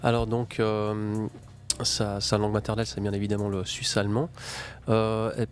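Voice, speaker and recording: Low-voiced man interpreting on radio. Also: completely flat delivery, faint music behind. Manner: halting in English, quick in French.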